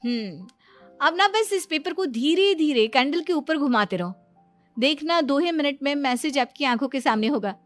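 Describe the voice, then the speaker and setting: A woman's voice: sits high, expressive, normal speed, dry recording, soft background music. A woman voicing a confident, know-it-all young daughter-in-law in a story, self-assured as she explains things. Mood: confident, explaining. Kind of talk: acted moral-story dialogue.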